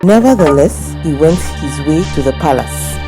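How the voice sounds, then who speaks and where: Low-voiced Nigerian woman storyteller over music. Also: expressive, normal speed, rough recording. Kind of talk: scripted folktale narration. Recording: prominent background music.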